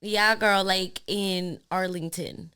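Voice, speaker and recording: A young woman, a lash trainer hosting a casual podcast, talking in an animated, slangy, encouraging way. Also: mid-range voice, takes it slowly, clear, resonant tone.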